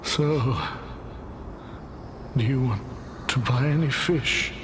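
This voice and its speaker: A man voicing the forlorn, stubborn ghost of a fish seller in a video game, halting and repetitive. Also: medium pitch, background noise, lightly acted. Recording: background music under the voice.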